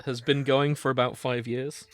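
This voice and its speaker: A man's voice: medium pitch, little pitch movement, normal speed, fairly bright tone, no echo. A man hosting a podcast, chatting in a casual, comedic way. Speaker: podcast host.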